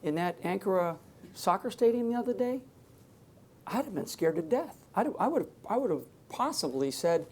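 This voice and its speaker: A man's voice: high voice, big pitch swings, moderate pace. A man speaking off the cuff to a live audience from a stage, emphatic and rambling, often restarting his sentences. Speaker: public speaker on security. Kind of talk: unscripted talk to a live audience.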